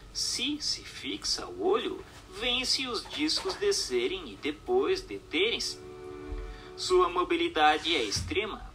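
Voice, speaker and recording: Animated, bright-voiced male narrator. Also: a little high. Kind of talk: scripted audiobook-style narration of a text.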